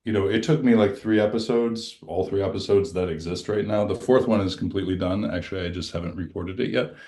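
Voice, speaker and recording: A middle-aged man from western New York, a musician guest, talking casually and haltingly with many restarts. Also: warm tone. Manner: deep-voiced, halting, restarts often. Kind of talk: casual chat.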